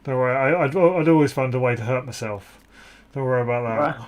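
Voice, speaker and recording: A man streamer chatting casually, quiet and stammering, poking fun at himself. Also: mid-range voice, a little fast. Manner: quiet, stammering, self-deprecating. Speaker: streamer and player. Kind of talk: casual chat between game sessions.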